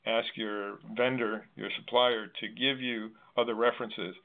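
A man moderating a discussion in a halting, informal, conversational way. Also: fairly low pitch, steady tone. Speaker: host and moderator. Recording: thin phone-line audio.

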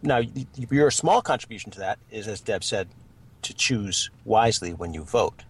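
A man taking part in a talk discussion, speaking conversationally and haltingly in a gravelly, husky voice. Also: lowish voice, expressive. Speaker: talk show participant.

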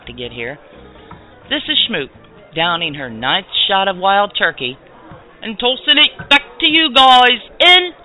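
A woman's voice: on the low side, phone-quality sound. A woman playing a crude, man-hungry, drunken partygoer in a comic radio sketch, with theatrical delivery.

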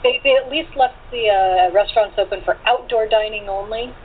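A woman's voice: mid-range voice, fairly quick. A woman chatting casually in a group conversation, with a rambling way of talking, over thin call audio. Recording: noisy, phone-like remote call audio.

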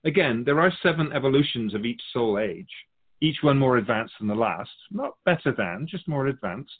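Guy playing an otherworldly keeper spirit, formal patient teacher type, pitch moves around a lot, measured delivery, podcast drama. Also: expressive, breathy edge, narrow, tinny audio. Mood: patient, instructive. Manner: measured, didactic, formal phrasing. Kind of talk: scripted dialogue in a fiction podcast.

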